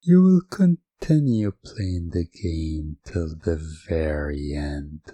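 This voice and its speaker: Very slow, deep-voiced man narrating hypnosis. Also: expressive, warm tone, no room sound. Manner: slow, deliberate, soothing, commanding. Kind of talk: hypnosis ASMR, scripted second-person storytelling.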